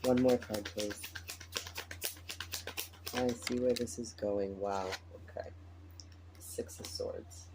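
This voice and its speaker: A man with a raspy morning voice, tired, chatting casually as he lays out a tarot reading and repeats his phrases. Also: lowish voice, steady tone, slow pace, long pauses, over music, poor audio. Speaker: tarot reader.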